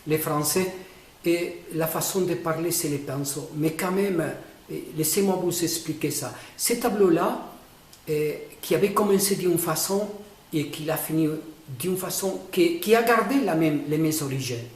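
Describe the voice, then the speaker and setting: A man, a painter who is not a native French speaker, explaining his own painting in a halting way with many fillers and restarts. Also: a little high, reverberant. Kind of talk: informal spoken explanation.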